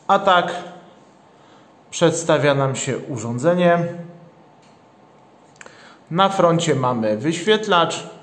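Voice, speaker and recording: Slow-talking man, roomy sound. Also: on the high side, lively intonation, fairly bright tone. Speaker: product reviewer, channel host. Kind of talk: unboxing presentation, step-by-step product description. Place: indoors, tabletop product shoot. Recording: roomy indoor echo.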